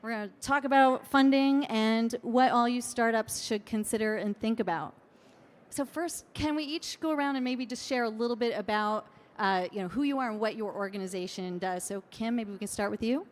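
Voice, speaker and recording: Woman moderator, slightly high voice, friendly, directive. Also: moderate pace. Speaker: panel moderator. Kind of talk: moderating a panel.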